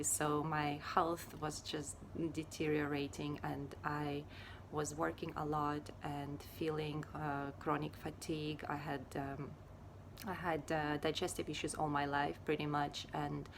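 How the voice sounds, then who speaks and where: A woman from Ukraine speaks in a low, fairly flat voice, taking her time and pausing often with fillers. A faint hiss sits under the recording. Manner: unhurried and hesitant, many fillers. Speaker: yoga teacher and Ayurveda therapist. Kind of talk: casual personal talk to camera.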